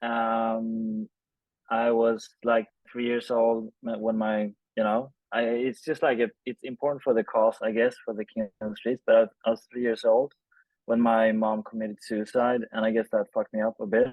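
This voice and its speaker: A man's voice: on the low side, little pitch movement, moderate pace, dry recording, phone-quality sound. Man in his thirties, dry sound. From Sweden. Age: 30s. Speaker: interview guest, film director. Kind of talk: casual personal storytelling in an interview.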